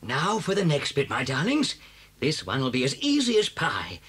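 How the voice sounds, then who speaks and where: A man playing a clever, boastful, commanding father fox in an animated, theatrical dramatised children's storybook tape. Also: a little high, moderate pace, slightly rough, full character voice. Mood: confident, brisk.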